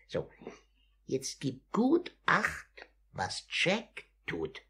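A man's voice: a little high, wildly swooping pitch, drawn-out words, pushed and bright, husky, heavily acted. A man playing a sly, fawning old master thief, cackling and singsong, in a 1950s German radio drama. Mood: playful, sly. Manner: theatrical, cackling, repeats words in a singsong.

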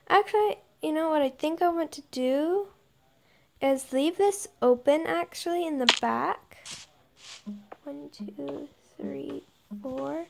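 A woman's voice: sits high, gravelly. A young woman giving casual commentary as she builds in a game, thinking aloud and often murmuring under her breath. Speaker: gaming video creator. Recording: noisy home recording with clicks.

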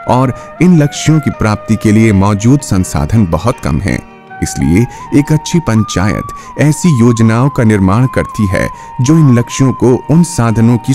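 A man reading scripted documentary narration in a formal, measured, explanatory manner. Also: on the low side, a little mellow. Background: background music.

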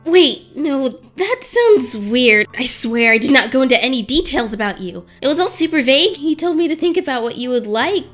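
A woman's voice: high voice, lively intonation, moderate pace, hardly any pauses, fairly bright tone, faint music behind. A young woman voicing an awkward, flustered teenage superheroine in a comic dub, stammering and gushing. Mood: nervous, flustered. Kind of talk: animated-style comic dub acting.

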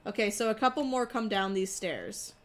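A woman streaming a co-op horror game, calmly explaining tactics and guiding her partner. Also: mid-range voice, normal speed. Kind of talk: casual gameplay chat.

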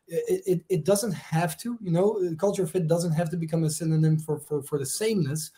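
A Dutch man with a fairly high but mellow, relaxed voice talks as a panel guest on a video call. He speaks in an animated way and often doubles words as he gets going. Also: brisk. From Netherlands. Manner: animated, repeats words when starting a point. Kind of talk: casual panel discussion. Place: home room, video call.

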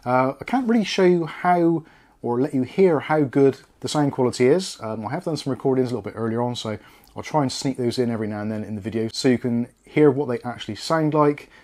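A man's voice: medium pitch, brisk, darkish tone. British man presenting a casual product review. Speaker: product reviewer, channel host.

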